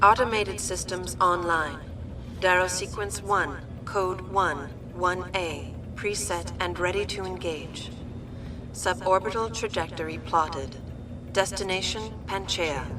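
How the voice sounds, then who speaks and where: A woman's voice: fairly low pitch, takes it slowly. A woman voicing an impassive automated shuttle computer in a video game, making formal procedural announcements. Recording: distant, with clear machinery noise.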